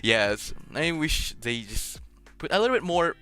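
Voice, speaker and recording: A man hosting a casual streamed chat, halting as he searches for words in a language that is not his native Japanese, sometimes switching to Spanish. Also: a little high, big pitch swings, pushed and bright. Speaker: host of a streamed radio show. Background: music.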